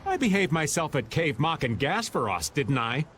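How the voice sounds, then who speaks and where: Cheeky old game rogue, slangy and easygoing, pitch jumps all over, some noise behind. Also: male voice, sing-song, normal speed, a bit bright. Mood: teasing. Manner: folksy, joking, slangy. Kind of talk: video game voice acting.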